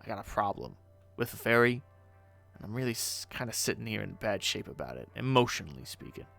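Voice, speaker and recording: A man voicing a drowsy young male alien troll who murmurs slowly. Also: fairly low pitch, very animated, creaky, fairly bright tone, faint music behind, pitched below the performer's own voice, exaggerated voice. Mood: glum.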